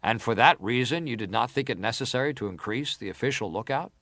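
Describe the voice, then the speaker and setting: A man acting a middle-aged senator in a dramatised hearing, putting probing, formal questions in a deliberate, pressing way. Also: medium pitch, animated, a bit bright, no echo. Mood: probing, insistent. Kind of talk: dramatised reading of hearing interrogation.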